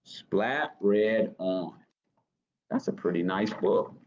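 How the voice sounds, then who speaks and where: A man in his thirties reading a picture book aloud to children as storytime host, expressive and encouraging, with chatty asides to young listeners. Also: fairly low pitch, slow. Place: small office, indoors. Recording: dull webcam audio.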